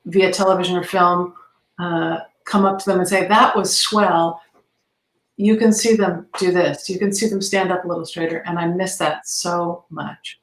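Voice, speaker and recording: A woman's voice: lowish voice, fairly even intonation, normal speed. A woman giving enthusiastic, conversational answers as a guest in an interview. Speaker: guest, theatre arts advocate. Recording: roomy, echoey sound.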